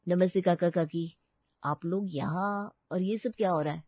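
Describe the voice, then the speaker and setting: A woman voicing excitable, sentimental male relatives with melodramatic delivery in a comic family story. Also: lowish voice, moderate pace, dry recording, lo-fi sound. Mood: bewildered.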